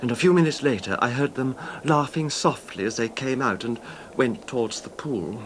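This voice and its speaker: An actor playing a controlled, wronged husband calmly confessing his revenge in a 1970s radio drama, measured and understated, with slight hesitations. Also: mid-range voice, a little hiss or hum, lightly acted. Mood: hushed, chilling.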